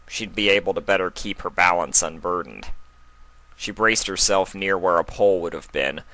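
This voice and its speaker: A man's voice: low voice, bright, forceful tone, rough recording. A man narrating a scripted story in a dramatic reading style. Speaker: narrator, fan fiction reader.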